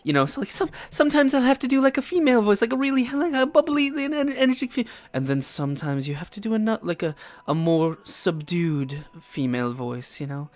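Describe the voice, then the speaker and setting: A man's voice: sits high, expressive, fairly quick. Enthusiastic young man hosting a live stream. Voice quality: swears freely, loose and enthusiastic. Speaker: host of a tabletop game talk show. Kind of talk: casual live-stream chat.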